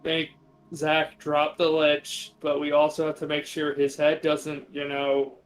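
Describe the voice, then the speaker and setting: A man's voice: a little high, completely flat delivery, a little slow, a little hiss or hum, low-quality recording. A man playing a tabletop roleplaying game, chatting casually and improvising in a hesitant, apologetic way. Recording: music behind the voice. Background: music.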